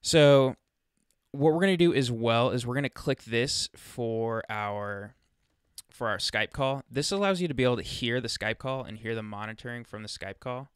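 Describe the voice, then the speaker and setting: A man presenting a casual software walkthrough, chatting informally and thinking aloud as he demonstrates, speaking into a close USB microphone. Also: mid-range voice, moderate pace, clear, resonant tone, dry recording. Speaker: tutorial presenter.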